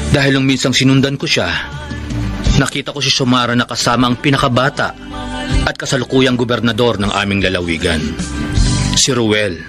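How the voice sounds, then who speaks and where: A man's voice: medium pitch, lightly acted. An actor playing a lovesick, jealous man in a Filipino radio drama, with an earnest, emotional delivery and a Filipino accent. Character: lovesick, jealous young man pleading with his beloved. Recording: dramatic music under the voice. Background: music.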